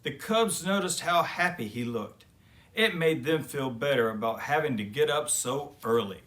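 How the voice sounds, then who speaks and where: A man reading a children's story aloud as expressive narration. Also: mid-range voice, normal speed. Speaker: children's story reader and host.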